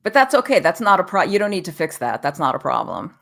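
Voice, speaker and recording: A woman speaking as a nutrition specialist guest in a casual interview, chatty and quick to correct herself, with frequent "you know" and "like.". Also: fairly low pitch, very animated, creaky, a bit bright.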